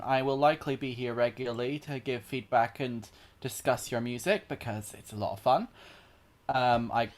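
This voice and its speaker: Casual young man, a little halting. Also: mid-range voice. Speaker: music community member.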